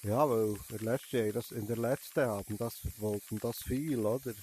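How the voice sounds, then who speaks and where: A man's voice: mid-range voice, steady tone, moderate pace, darkish tone, slight background noise. A young man with a Swiss German accent, nursing a cold, chatting playfully in character as a barber while playing a game, teasing and tagging sentences with a questioning "hä?". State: has a cold. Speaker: gamer streaming a VR barber game. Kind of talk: playful in-character gameplay commentary.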